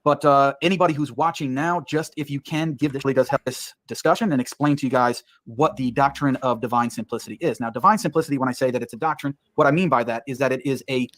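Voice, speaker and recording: A man with a mid-pitched voice gives a prepared lecture, walking through each point in order. His delivery is steady and explanatory. The video plays back through a stream with dry, close sound. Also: quick pace, hardly any pauses, dry recording. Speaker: online lecturer.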